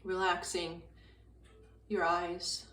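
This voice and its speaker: Slow, low-voiced woman guiding meditation. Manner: calm, unhurried, gentle, with long pauses between short phrases. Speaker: meditation guide. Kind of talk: guided meditation, slow soothing instruction. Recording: roomy echo, distant mic, faint noise.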